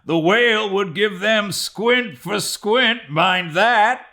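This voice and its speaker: A man voicing a brash, gruff, jocular whaling mate in an audiobook, talking bluntly and boisterously. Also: sits high, takes it slowly, sharp tone, voice pushed up, exaggerated voice.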